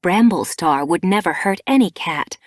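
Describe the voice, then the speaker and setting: A woman reading an audiobook, voicing a worried, soothing she-cat in a gentle voice. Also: fairly low pitch, lively intonation, normal speed, no echo, studio quality.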